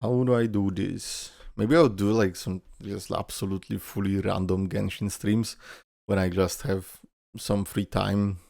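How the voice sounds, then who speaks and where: A male streamer reacts casually in a fairly low voice with noticeable fry. He rambles informally as he thinks aloud. The sound is dry and free of room echo. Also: level tone, a little slow, darkish tone. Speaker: YouTuber, streamer.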